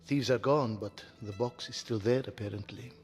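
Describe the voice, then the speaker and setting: A man's voice: fairly low pitch, moderate pace, darkish tone, husky. An actor voicing a plain-spoken old townsman and trader in an adventure game. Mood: plain, wary. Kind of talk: video game voice acting. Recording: reverberant sound with faint music behind.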